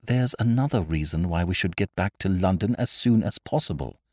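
Man as an animated, earnest English gentleman sidekick. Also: sits low, fairly quick, dry recording, clean studio sound. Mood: insistent, impatient.

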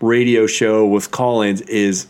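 A man's voice: fairly low pitch, flat delivery, slow pace, a little mellow, clear, resonant tone. A man hosts a casual podcast chat, his voice worn from a sore throat. He rambles enthusiastically, stacking up fillers as he goes. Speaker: podcast host.

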